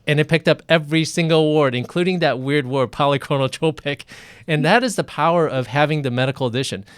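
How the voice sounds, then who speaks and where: A man's voice: a little high, fast talker, clean tone, no room sound, studio quality. A man hosting a casual live video interview, talking enthusiastically with many restarts and fillers. English is not his first language.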